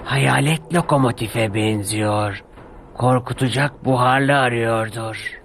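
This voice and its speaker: A man voicing a mischievous male diesel engine who sneers and teases in drawn-out, mocking phrases. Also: mid-range voice, vocal fry, fairly bright tone, faint music behind. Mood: spooky teasing.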